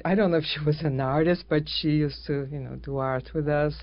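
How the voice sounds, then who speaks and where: A middle-aged woman from Brazil, a painter guest in an interview, speaking in a soft, halting way with many restarts. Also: sits low, steady tone, dry recording. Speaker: guest artist. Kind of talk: casual interview conversation. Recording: dull lo-fi sound.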